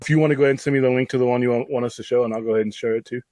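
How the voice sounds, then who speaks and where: Live-stream host, mid-pitched and chatty, rambling delivery. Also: male voice, steady tone, very fast, a little mellow, no echo. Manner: rambling, filler-heavy, friendly. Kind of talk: casual live-stream chat.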